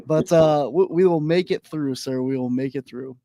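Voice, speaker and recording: Hoarse podcast host, dry mic. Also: male voice, a little high, dry recording. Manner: energetic, halting with fillers. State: voice going hoarse. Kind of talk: casual interview.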